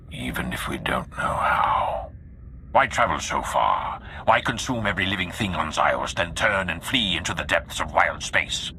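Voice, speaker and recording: A man playing a grave, commanding Sith lord in a video game, with a solemn, deliberate and authoritative delivery. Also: bright, forceful tone, a little hiss or hum, heavily acted. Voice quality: very deep, gravelly. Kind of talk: video game cutscene acting.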